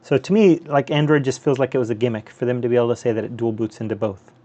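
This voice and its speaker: A man's voice: mid-range voice, fairly even intonation, fast, dark tone. Rambling man, dull stream audio. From North America, US and Canada. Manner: loose, rambling, many fillers and restarts. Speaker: handheld gaming streamer, host. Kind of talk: casual live stream chat over gameplay.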